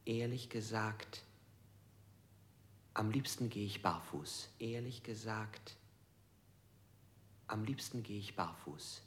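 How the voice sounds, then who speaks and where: A man's voice: fairly low pitch, slow, long pauses, fairly bright tone, a little hiss or hum. An actor playing a bewildered man in a stylized radio play, answering hesitantly and echoing the questions put to him. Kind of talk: stylized radio drama acting.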